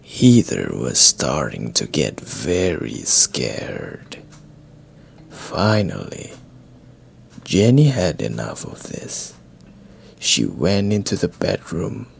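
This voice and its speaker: A man storyteller giving a dramatic reading of a scary story in a creaky, whispery voice over music. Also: extremely low pitch. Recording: music behind the voice. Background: chiming mallet music.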